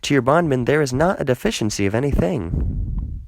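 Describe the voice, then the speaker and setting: A man's voice: mid-range voice, lively intonation, normal speed, no room sound, pitched above the performer's own voice, close to an everyday voice. Man as earnest traveller, dry recording. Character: weary journeying man, polite master seeking lodging. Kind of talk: Bible storytelling.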